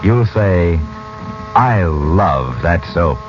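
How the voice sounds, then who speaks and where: A man announcing in 1940s radio style, giving a persuasive, emphatic sales pitch. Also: lively intonation, extremely slow. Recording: dull vintage radio recording, loud music.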